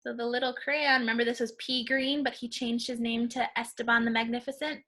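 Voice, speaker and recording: Bright, high-voiced woman teaching small children. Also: level tone, a little fast. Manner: explains simply and instructively to small children. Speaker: teacher reading a picture book aloud to young children. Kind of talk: children's read-aloud with simple asides.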